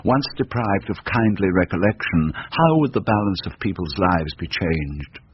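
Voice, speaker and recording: A man with an English accent narrating a story in a measured, literary, dramatic style. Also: low voice, expressive, slightly rough. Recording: muffled, faint music behind.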